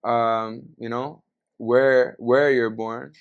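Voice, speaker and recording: A young man from Venezuela hosts a casual podcast. His voice is smooth and clear at a middle pitch, and he talks in a relaxed, slangy way, musing aloud with plenty of fillers. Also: drawn-out words, muffled recording. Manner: laid-back, slangy, thinks aloud with fillers. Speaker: podcast host. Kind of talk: casual chat.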